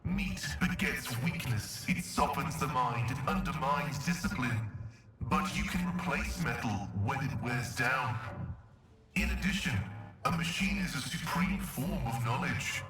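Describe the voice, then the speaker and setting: Echoing pious cyborg tech-priest. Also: male voice, medium pitch, expressive, unhurried, a bit bright, faint music behind. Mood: proud, zealous. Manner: formal, solemn, preaching. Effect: metallic voice modulator. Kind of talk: scripted video game dialogue. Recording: heavily echoing, distant processed game audio.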